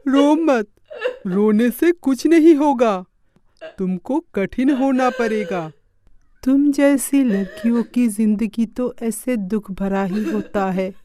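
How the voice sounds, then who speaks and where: A woman playing a consoling, world-weary middle-aged neighbour aunt in an animated moral story, motherly and advising. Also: on the high side.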